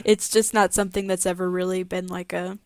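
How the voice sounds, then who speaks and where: A woman's voice: on the low side, level tone, a little fast, darkish tone, clear, resonant tone, dry recording, clean studio sound. A woman co-hosting a podcast, talking casually as she discusses a story. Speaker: podcast co-host.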